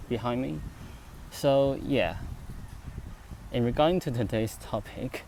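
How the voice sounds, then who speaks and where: A young man from China, a native Chinese speaker, telling a personal story to camera outdoors, halting and full of fillers and restarts. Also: fairly low pitch, noisy background. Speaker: vlogger. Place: outdoors near traffic, turkey nearby.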